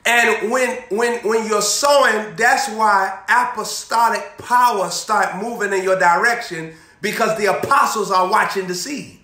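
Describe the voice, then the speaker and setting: A young male preacher delivers an animated sermon, repeating key phrases and quizzing his congregation. His voice sits high and sharp. The sound carries the echo of a bare indoor room. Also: unhurried, few pauses. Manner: animated, repetitive preaching with call-and-response questions, moving around. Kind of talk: energetic sermon. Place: indoor room in front of a banner backdrop. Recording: echoey room.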